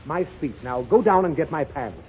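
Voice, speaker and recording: An exasperated old-time radio dad, high voice all over the place, stammery and pompous. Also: male voice, very animated, moderate pace, a little mellow. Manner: irritable, stammering, pompous when orating. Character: flustered, exasperated father rehearsing a banquet speech. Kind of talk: 1930s radio comedy acting. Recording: hissy muffled 1930s radio recording.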